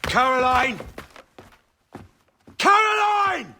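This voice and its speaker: An actor playing a hot-headed, ambitious young husband in a game drama, husky and roughly spoken, indignant in manner. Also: male voice, extremely high pitch, very animated, extremely slow, sharp tone, a little hiss or hum, exaggerated voice. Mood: angry, pleading. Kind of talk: game cutscene drama acting.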